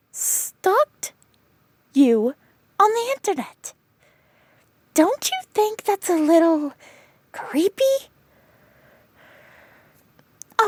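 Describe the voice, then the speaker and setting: A woman playing a protective young female friend in an audio roleplay, scolding in exasperation and then whispering soft reassurance. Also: sits high, dry recording. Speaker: comforting friend.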